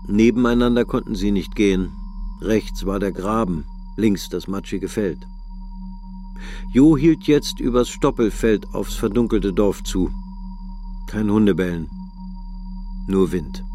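Deep-voiced male radio drama narrator. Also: warm tone.

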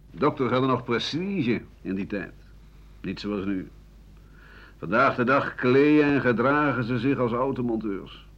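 An actor in a radio play playing an embittered, world-weary old doctor and writer who grumbles disdainfully as he looks back. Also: lowish voice. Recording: dull 1980 radio recording.

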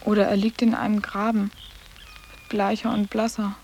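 A woman's voice: medium pitch, little pitch movement, moderate pace, darkish tone, highs cut off, a little hiss or hum, natural voice. An actress playing a sarcastic, cool and probing teenage schoolgirl in a radio play, speaking in short, pointed phrases. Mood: morbid, probing. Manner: short, pointed questions and dry remarks. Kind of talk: 1980s German radio play acting.

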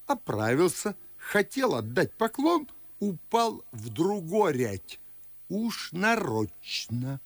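An actor playing a pompous, excitable old Russian nobleman in a verse radio play, speaking in a gravelly, husky voice and savouring his words. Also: fairly high pitch, dry recording, exaggerated voice. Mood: mock-grave storytelling. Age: older. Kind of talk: theatrical verse radio drama.

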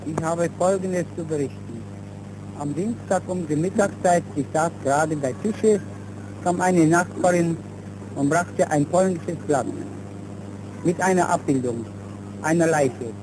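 Middle-aged male witness, formal account for a 1930s newsreel, slightly high voice, noisy humming soundtrack. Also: unhurried. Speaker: witness giving testimony. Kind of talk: formal personal testimony for a newsreel.

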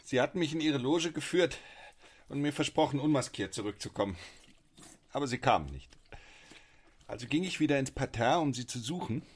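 Terse, guarded husband, mid-pitched. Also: male voice, lively intonation, a little fast, pauses often, slightly rough. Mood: guarded, defensive. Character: evasive, defensive husband under questioning. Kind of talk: radio play acting.

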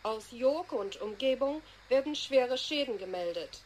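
A sober emergency newsreader in a radio play. Her voice is very high and her delivery formal and measured, with faint noise behind it. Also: lively intonation, a little mellow, low-quality recording. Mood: sober, serious. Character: sober female radio announcer giving emergency bulletin. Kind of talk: radio drama news bulletin.

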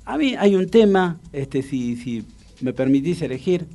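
A man with an Argentine accent hosting a casual radio interview, speaking haltingly and repeating his words and phrases. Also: fairly high pitch, very animated, warm tone. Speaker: radio show host. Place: radio studio.

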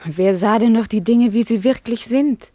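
An actress playing a thoughtful, gently ironic village doctor's wife in a German radio drama, earnest and searching. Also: female voice, on the high side, normal speed, dry recording, natural voice. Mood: earnest, reproachful.

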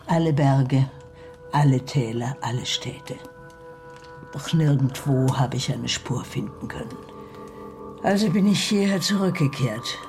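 A grieving, devout wanderer telling of lost family in a radio western. The delivery is solemn and measured, at a middling pitch, with music clearly underneath. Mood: weary, hopeful. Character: sorrowful, devout adult searching for lost family. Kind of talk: German radio play acting.

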